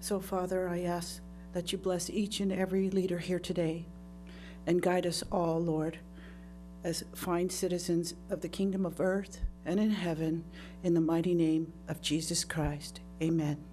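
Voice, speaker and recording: Solemn, mellow, low-voiced woman praying. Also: little pitch movement, soft background music. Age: middle-aged. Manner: solemn, measured, reverent. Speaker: resident leading an invocation. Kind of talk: public prayer. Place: council chamber. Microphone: podium gooseneck microphone.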